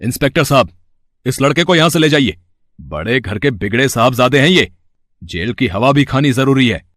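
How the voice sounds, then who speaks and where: A man's voice: mid-range voice, lively intonation, moderate pace, fairly bright tone, no echo, clean studio sound, close to an everyday voice. A man playing a stern, indignant hotel manager in a dramatised moral story, commanding in manner.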